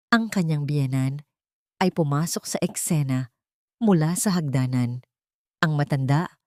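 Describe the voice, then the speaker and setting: A woman narrates a dramatic love story as a storyteller. Her voice sits a little low, and the recording is dry, with very little room sound. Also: mellow, no echo.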